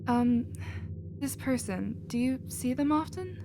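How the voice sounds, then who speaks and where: Girl doing a caring emotional alien scientist, bit high pitched, asmr roleplay, noisy recording. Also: unhurried. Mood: tender, concerned. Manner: soft-hearted, earnest, expressive. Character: gentle, emotional, compassionate female alien scientist. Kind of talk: scripted ASMR audio roleplay.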